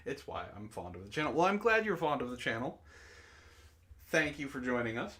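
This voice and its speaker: A man's voice: mid-range voice, sing-song, fairly quick, fairly bright tone. A man hosting a book club, reading prose aloud dramatically and breaking off into exasperated asides.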